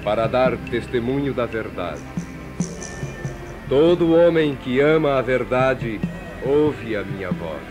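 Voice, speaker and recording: Calm holy prisoner, very slow, solemn, dignified, biblical drama, music underneath. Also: male voice, medium pitch. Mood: serene, solemn. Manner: solemn, measured. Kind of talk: biblical audio drama acting.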